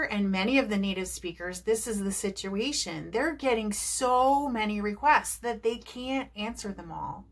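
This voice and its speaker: An American woman from New Jersey, an English teacher who talks viewers through tips in a chatty, encouraging way. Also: mid-range voice. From New Jersey, United States. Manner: chatty, encouraging, asks rhetorical questions. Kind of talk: video lesson to camera.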